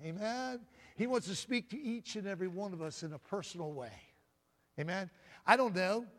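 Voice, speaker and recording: A man preaching a sermon with a loud voice, often calling out "Amen?" and swinging from booming to soft. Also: animated, normal speed. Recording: long hall reverb.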